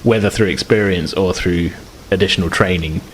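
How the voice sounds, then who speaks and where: A man's voice: deep voice, moderate pace, a little hiss or hum. A man casually narrating a tabletop roleplaying game as game master, in a conversational, hedging way.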